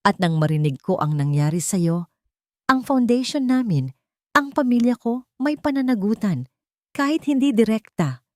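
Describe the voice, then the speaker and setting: A woman voicing an earnest young male tycoon, soft-spoken, regretful and protective as he confesses. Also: a little high, very animated, normal speed, no echo, studio quality, voice pushed up.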